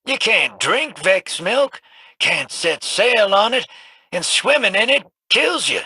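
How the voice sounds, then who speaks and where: A cocky, sarcastic rogue, with a high, sharp-edged voice that swoops about lively. The voice is husky and sits dry and close. Video game dialogue. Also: male voice, takes it slowly, dry recording, exaggerated voice. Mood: sly. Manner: sarcastic, swaggering drawl. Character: brash, cynical male rogue scavenger.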